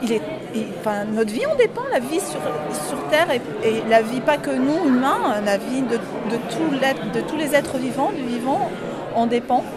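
An older woman speaks in a high voice, emotional and halting as she searches for words. Loud crowd chatter fills the background. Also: expressive. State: moved, emotional. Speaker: audience member. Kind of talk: street interview. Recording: busy crowd behind.

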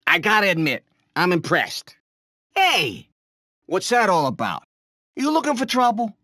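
An actor playing a cocky, taunting toy robot in a video game, with a brash, teasing, streetwise manner. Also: male voice, sits high, huge pitch swings, measured pace, bright, forceful tone, dry recording, studio quality, exaggerated voice. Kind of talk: animated video game voice lines.

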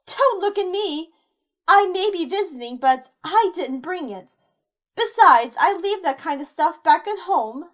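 A brash, flirty female monster in a fan audio drama, played by a woman. She talks in a teasing, provocative drawl, in a very high register. The voice sounds distinct and unusual. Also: expressive, measured pace, a bit bright, heavily acted. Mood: defensive.

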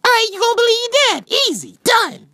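A woman's voice: extremely high pitch, big pitch swings, fast talker, bright, forceful tone, full character voice. A woman voicing a brash, loyal kid who talks in combative, repetitive slang. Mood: fired-up.

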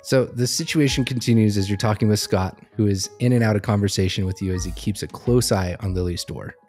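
A man's voice: lowish voice, a little fast, darkish tone. A man narrating an improvised role-play scenario as its game master. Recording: music bed under the voice.

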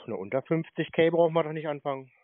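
A man mocking a phone scammer with deadpan sarcasm over a call. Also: on the high side, fast talker, no echo. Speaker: channel host trolling a scam caller. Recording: tinny phone line.

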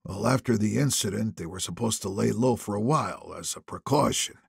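An anxious, guarded male confidant played by a male narrator talks carefully and deferentially in an audiobook. The voice is husky and creaky, recorded dry and close. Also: on the low side, a little fast, no echo, heavily acted. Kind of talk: audiobook character dialogue.

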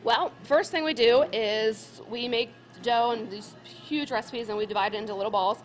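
A woman's voice: a little high, normal speed. Friendly woman baker explaining. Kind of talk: explaining baking on live TV. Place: bakery kitchen, indoors.

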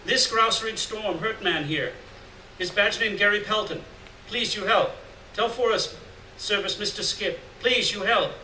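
Male reader as caring foreign woman, raised pitch, clipped broken English, echoey live story reading. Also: measured pace, bright, forceful tone, exaggerated voice. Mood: pleading, urgent. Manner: broken grammar, short urgent reassuring phrases. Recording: roomy, distant, noisy live room recording.

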